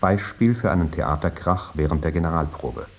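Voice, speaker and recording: A man with a husky voice reading scripted documentary narration in a terse, matter-of-fact manner. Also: low-pitched, normal speed.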